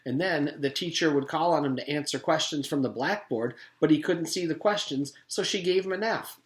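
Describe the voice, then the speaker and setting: A man's voice: a little high, normal speed, pitched above the performer's own voice, close to an everyday voice. A man voicing an eager, earnest schoolgirl with insistent, childlike speech in a children's storybook. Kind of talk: children's storybook read-aloud. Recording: echoey room.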